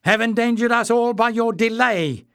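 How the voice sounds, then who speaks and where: A man's voice: extremely high pitch, normal speed, fairly bright tone, clean tone, no echo, clean studio sound, voice pushed up. A man voicing a sullen young male goblin who sneers and retorts furiously.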